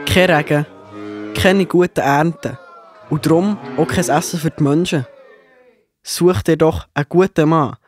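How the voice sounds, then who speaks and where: A man's voice: fairly high pitch, a little mellow. A man playing Josef, an earnest and upright young man, in a children's Bible audio drama.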